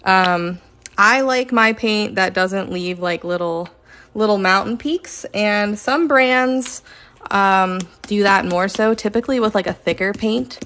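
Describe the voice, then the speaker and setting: A woman casually chatting during a live stream while she paints, rambling with lots of fillers and sometimes talking softly. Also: medium pitch, measured pace. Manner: says she is relaxed, talks softly at times.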